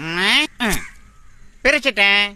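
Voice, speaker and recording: A woman's voice: medium pitch, expressive, slow, noisy background. A woman voicing a sly, greedy monkey in a children's cartoon, wheedling and crafty while posing as a fair helper. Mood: falsely friendly. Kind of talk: children's animated story acting.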